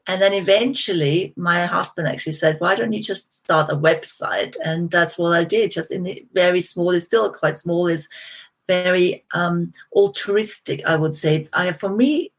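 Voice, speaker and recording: Husky Austrian woman, middle-aged guest. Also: lowish voice. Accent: Austrian German. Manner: thoughtful, many restarts and fillers. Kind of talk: interview conversation.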